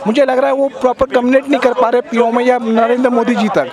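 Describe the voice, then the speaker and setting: A man's voice: very high-pitched, little pitch movement, fast talker. A male protest spokesman answering a reporter, laying out his case earnestly point by point. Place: outdoor protest in the city. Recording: crowd noise around.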